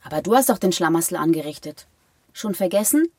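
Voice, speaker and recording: A woman's voice: fairly low pitch, expressive, brisk, a little mellow, slightly rough, dry recording. An actress playing a down-to-earth, exasperated woman in a radio drama, blunt and reproachful. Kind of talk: German radio drama acting.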